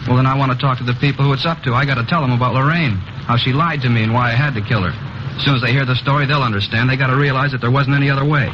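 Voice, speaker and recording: Brief: actor doing a jumpy, whiny young murder suspect in a vintage radio crime play, quick talker, mid-pitched, muffled hissy transcription. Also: male voice, fairly even intonation, lightly acted. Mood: pleading. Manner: edgy, whiny, rising to shrill protests. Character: nervous, defensive young man confessing to a murder. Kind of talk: 1950s radio drama acting.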